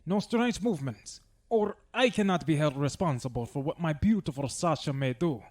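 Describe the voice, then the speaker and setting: Casting: man as suave older villain for audio drama, formal manners, high lively voice, courteous threat. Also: moderate pace. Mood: smooth menace. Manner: formal, elaborately polite. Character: suave menacing older man with a cat companion.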